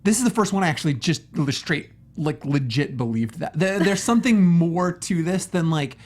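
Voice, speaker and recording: A man co-hosting a casual film review, rambling sarcastically with animated asides. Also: on the high side, moderate pace. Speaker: co-host.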